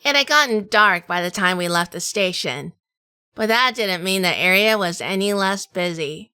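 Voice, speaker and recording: A woman playing a wry, tough female sleuth in a hardboiled noir audio drama, telling it in measured, dramatic fashion. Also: medium pitch, sharp tone, clear, resonant tone, dry recording, natural voice. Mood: wry, weary. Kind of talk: first-person noir audio drama narration.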